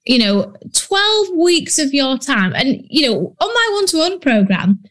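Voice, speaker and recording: A woman's voice: high voice, very animated, measured pace, a bit bright. A woman with a Yorkshire accent, a business coach talking bluntly and chattily in a casual solo podcast, with plenty of ums. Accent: Yorkshire English. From Yorkshire, northern England. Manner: blunt, chatty, frequent ums and British slang.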